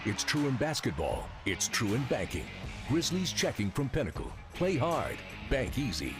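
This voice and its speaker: A man gives a smooth commercial read in a mid-pitched, expressive voice. A guitar bed plays clearly beneath him. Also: moderate pace. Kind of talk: bank radio ad read. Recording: music bed behind voice.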